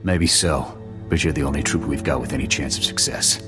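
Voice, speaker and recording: An actor playing a gruff, authoritative army lieutenant giving orders in a video game cutscene. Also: male voice, extremely low pitch, fairly even intonation, a little fast, over music. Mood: grave. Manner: authoritative military briefing.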